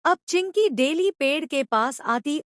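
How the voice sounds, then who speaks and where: A woman's voice: high-pitched, lively intonation, unhurried, fairly bright tone, no echo, clean studio sound. A woman narrating a scripted moral story for children as a storyteller.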